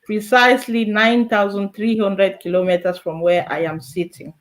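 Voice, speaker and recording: A woman's voice: mid-range voice, flat delivery, moderate pace. A woman in her thirties with a Cameroonian accent, answering interview questions in a conversational, storytelling way. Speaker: guest, immigrant in politics.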